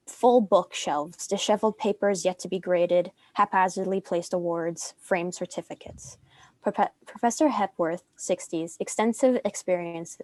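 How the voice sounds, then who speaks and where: A woman narrates a screenplay, reading out stage directions. Her voice is on the low side, and the recording is dry with almost no room sound. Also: mellow, no room sound. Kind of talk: scripted narration.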